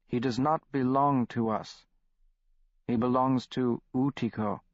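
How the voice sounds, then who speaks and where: Man voicing solemn old shaman, measured, dry dull audiobook recording. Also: mid-range voice, fairly even intonation, dry recording, close to an everyday voice.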